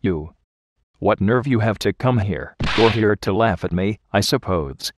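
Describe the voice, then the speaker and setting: A man voicing a bitter, twitchy male suspect and gadget geek with a halting delivery in a game fan dub. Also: on the low side, expressive, music in the background.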